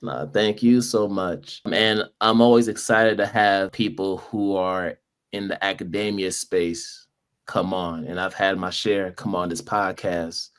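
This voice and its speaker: A man's voice: low voice, steady tone, measured pace, smooth. A man hosting a podcast interview, upbeat and welcoming as he introduces and questions a guest. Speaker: podcast host. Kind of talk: conversational interview.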